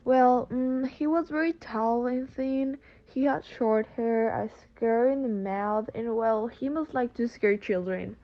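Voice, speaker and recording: A woman's voice: fairly high pitch, takes it slowly, hardly any pauses. A woman playing a defensive young schoolboy in an amateur radio drama. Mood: hesitant. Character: defensive young schoolboy recounting his nightmare. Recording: slightly dull, muffled microphone.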